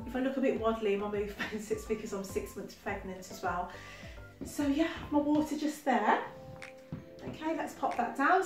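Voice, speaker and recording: A young woman with a Birmingham accent talks through her haul in a bright, chatty way, dotted with laughs and ums. Her voice sits a little high and sounds a step back from the camera in a roomy living room. Faint music plays underneath. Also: far from the mic. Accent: Birmingham (Brummie). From West Midlands, England. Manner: chatty and enthusiastic, laughs often, many ums. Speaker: vlogger, makeup artist. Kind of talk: casual haul video. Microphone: camera mic at a distance. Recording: roomy echo from off-mic.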